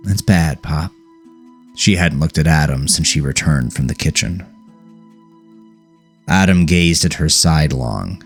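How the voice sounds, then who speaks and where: A man reading scripted horror fiction as an audiobook narrator. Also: low voice.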